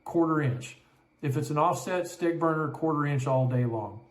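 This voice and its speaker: A man's voice: fairly high pitch, moderate pace, roomy sound. A man confidently answering a listener's question about barbecue pits, explaining in a practical way. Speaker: guest pit expert.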